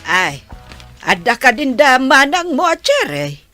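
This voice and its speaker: A woman playing a middle-aged, excitable village mother in Ilocano comedy radio drama, exclaiming theatrically with a Filipino accent. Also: high voice, slow, soft background music, exaggerated voice. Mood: surprised, nosy. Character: excitable nosy village mother, folksy and scheming.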